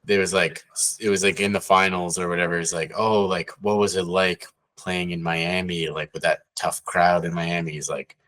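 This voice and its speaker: A man co-hosting a casual sports podcast, talking loosely with many fillers and getting animated when amused. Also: deep voice, normal speed, hardly any pauses. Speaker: podcast co-host.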